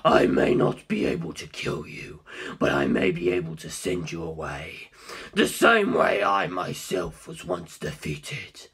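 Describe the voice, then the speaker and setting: Arrogant immortal villain, deep whispery voice, super slow, calm menace, audiobook style. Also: male voice, exaggerated voice. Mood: coldly menacing. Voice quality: low, breathy, whispery.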